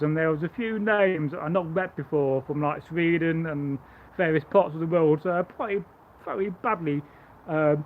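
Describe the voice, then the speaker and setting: A man with a loud voice, pitched a little high, talks his way through a live stream about Viking gear. He rambles and restarts his sentences. The audio is thin and phone-like, with faint hiss behind it. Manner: rambling, chatty, stammering restarts. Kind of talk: casual live stream chat and demonstration.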